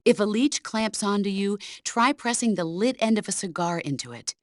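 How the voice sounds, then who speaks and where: A woman's voice: medium pitch, animated, moderate pace, dry recording, clean studio sound, close to an everyday voice. A middle-aged woman playing a stern, commanding veteran soldier who mentors her former protégé in a video game. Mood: matter-of-fact. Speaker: military mentor character. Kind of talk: video game voice acting, radio briefing dialogue.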